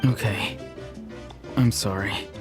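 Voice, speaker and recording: An actor playing an earnest, contrite young hero and grandson in a video game, speaking sincerely and a little hesitantly. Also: male voice, on the low side, steady tone, takes it slowly. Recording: clear game music behind the voice.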